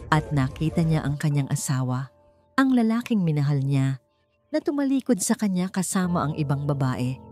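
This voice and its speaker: Low-voiced woman narrating a dramatic story. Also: darkish tone. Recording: music behind the voice. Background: background music.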